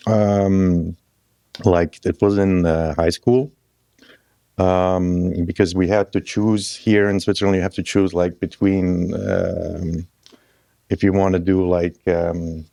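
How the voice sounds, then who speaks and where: A man in his thirties from Croatia, a guest musician chatting casually in a halting, loose way with restarts. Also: low-pitched, fairly even intonation, creaky, dark tone, no echo, studio quality. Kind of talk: casual interview chat.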